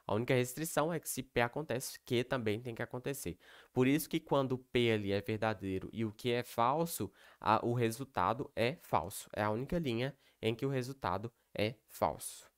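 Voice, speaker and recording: A young man teaching a lesson over slides in a relaxed, conversational voice. He works through each point patiently, pausing often to ask whether everything is clear. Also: mid-range voice. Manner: explains step by step, checks in with "beleza?" and "ok?". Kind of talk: recorded online lecture.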